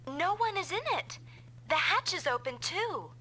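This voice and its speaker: A woman's voice: very high-pitched, expressive, a little slow, sharp tone, full character voice, rough recording. An actress playing an alert, persistent and inquisitive young female pilot in an anime-style video game dub. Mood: curious.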